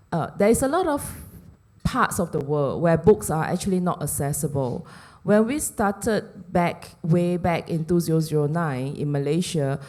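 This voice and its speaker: Woman with a low-pitched voice, a steady, earnest forum guest. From Singapore. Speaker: book sale founder, forum guest. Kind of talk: press forum talk. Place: hotel function room.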